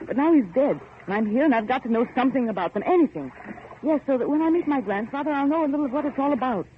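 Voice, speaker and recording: An actress playing an anxious, insistent young heiress in vintage radio horror, questioning with pleading, melodramatic urgency. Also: female voice, high voice, animated, quick pace. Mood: pleading, exasperated. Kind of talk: 1940s radio horror acting. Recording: noisy muffled old radio recording.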